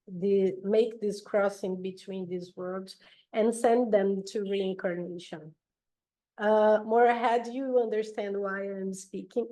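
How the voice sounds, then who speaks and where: A woman from Brazil with a Brazilian Portuguese accent, a native Portuguese speaker giving an online talk in a hesitant, slightly nervous way with many pauses and ums. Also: medium pitch, mellow, low-quality recording. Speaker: guest speaker. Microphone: video call.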